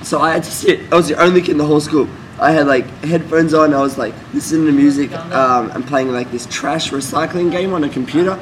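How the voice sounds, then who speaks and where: A man's voice: on the high side, fast, few pauses, a little hiss or hum, rough recording. A man, a musician guest telling rambling, laid-back stories in a casual interview.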